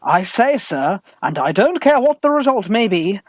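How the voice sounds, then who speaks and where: Man acting a high-voiced, flustered young gentleman. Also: big pitch swings. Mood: exasperated. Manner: flustered, formal, halting. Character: earnest, flustered young gentleman suitor, easily exasperated. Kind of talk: theatrical radio farce acting.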